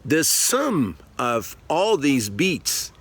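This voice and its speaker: Slow-spoken man teaching percussion. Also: mid-range voice, very animated, a bit bright, slightly rough. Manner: slow, explanatory, counting beats aloud. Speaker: music teacher. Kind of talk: demonstrating percussion outdoors. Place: outdoors by a tree.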